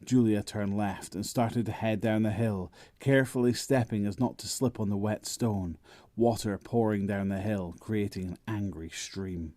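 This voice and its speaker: Male narrator, lowish mellow voice, very dry close recording, dramatic scripted storytelling. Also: steady tone, moderate pace, no echo.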